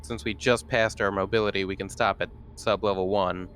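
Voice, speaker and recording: A man's voice: on the low side, fairly even intonation, a little fast, fairly bright tone, clear, resonant tone. A man talking casually and slangily as a player in an online tabletop game. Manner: informal, slangy, mild swearing. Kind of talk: casual game chat over video call. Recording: video call, music behind the voice.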